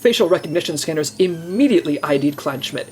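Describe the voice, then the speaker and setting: A man's voice: on the high side, lively intonation, fast, a little mellow, a little hiss or hum, natural voice. A man playing an earnest special agent in an audio comedy, eager and defensive as he strains to sound fine. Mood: earnest briefing. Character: eager, strained male special agent hiding his soreness.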